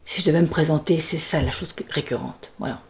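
A woman's voice: low-pitched, fast talker, darkish tone. A sixty-year-old woman speaking reflectively in an interview, searching for words and repeating them. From born in the United States, moved often. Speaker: puppeteer. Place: furnished workshop room, indoors.

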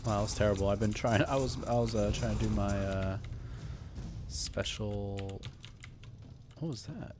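A man's voice: fairly low pitch, a little slow, long pauses, background noise. A man hosting a let's play, giving casual, offhand gaming commentary with joking slang. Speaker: gaming video host. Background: game music, keyboard clicks.

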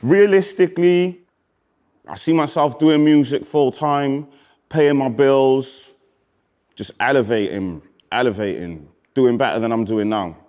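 A man in his thirties from Wales with a Cardiff accent, a rapper casually reading out comments in a relaxed, slangy way that often trails off quietly. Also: mid-range voice, measured pace. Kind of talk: casual chat. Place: indoor studio with graffiti wall.

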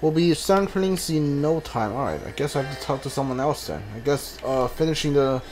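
A man giving casual video game commentary, halting and mumbling as he reads text from the screen. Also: mid-range voice, darkish tone, low-quality recording. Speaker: gaming streamer. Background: video game music.

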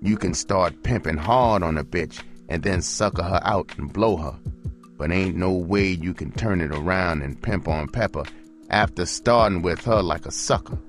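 A man playing a cold, hard older pimp who lectures in gruff street slang. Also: sits low, moderate pace, natural voice. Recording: music bed behind the voice.

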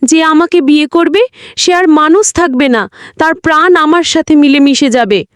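A woman's voice: sits high, normal speed, no room sound, clean studio sound, pitched above the performer's own voice, natural voice. A woman voicing an eerie female ghost bride who pleads sweetly and plaintively to marry, in a storybook horror tale. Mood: ominous.

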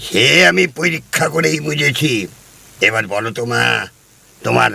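An actor playing a pompous, self-assured village herbal doctor in an audio drama. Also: male voice, mid-range voice, animated, measured pace, vocal fry, pushed and bright, husky, exaggerated voice. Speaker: Ayurvedic healer (kaviraj).

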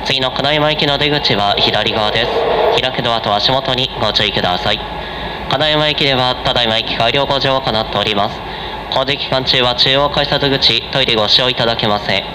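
A man's voice: mid-range voice, a little fast. A man working as a train conductor, making a formal, polite, routine onboard announcement. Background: vehicle and ambient noise.

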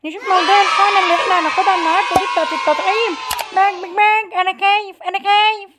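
Squawking, very high Egyptian duck. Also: female voice, steady tone, racing, heavy background noise. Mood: frantic, scared. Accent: Egyptian Arabic. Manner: quacking, frantic. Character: frightened, squawking young duck at school. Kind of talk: children's animal show acting.

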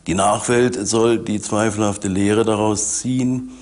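An older man with a fairly low voice comments as an expert in a documentary interview. His phrasing is measured and academic, set out like an analysis. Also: steady tone, a little mellow. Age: older, 60s. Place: dim indoor interview set.

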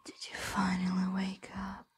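A woman playing a possessive, teasing ancient dragon in a whispered ASMR roleplay, breathy, lingering, doting and predatory. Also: mid-range voice, monotone, normal speed, no echo. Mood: smug, coaxing.

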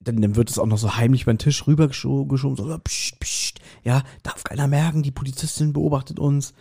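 A man's voice: medium pitch, fairly even intonation, brisk, warm tone, breathy edge. A man in his forties co-hosting a podcast, telling things in a chatty, jokey way and a casual style. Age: 40s. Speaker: podcast co-host. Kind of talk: casual podcast chat recapping an audio drama.

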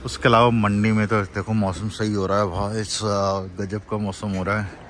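A man's voice: on the low side, level tone, moderate pace, fairly bright tone, slight background noise. A man in his thirties, a travel vlogger, chatting casually and exclaiming over the scenery, filming himself outdoors on a phone at arm's length. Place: outdoors on a mountain road.

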